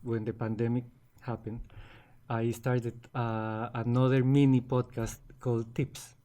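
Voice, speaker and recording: A man's voice: medium pitch, little pitch movement, unhurried, dark tone. A man in his forties from Colombia with a Colombian Spanish accent, a guest in a casual interview who often restarts his words. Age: 40s.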